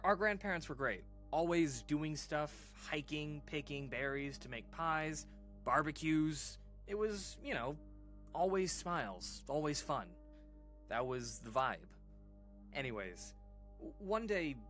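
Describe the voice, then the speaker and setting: An actor plays a man sharing an unsettling memory from his childhood in an audio drama. His delivery is informal and hesitant, broken by pauses, yet his pitch swings widely around a medium register. Faint music plays behind him. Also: a bit bright. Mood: fond. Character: uneasy man recounting an eerie childhood memory to listeners. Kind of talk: audio drama monologue, conversational horror storytelling.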